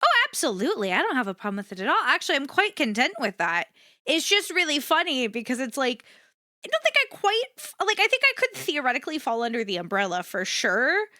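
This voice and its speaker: Animated, bright-voiced woman streamer, slightly rough. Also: fast talker, dry recording, studio quality. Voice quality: versatile voice, swinging between low and high. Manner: candid, sweary, repeats herself emphatically. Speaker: livestreamer chatting with viewers. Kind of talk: casual rambling chat to an audience.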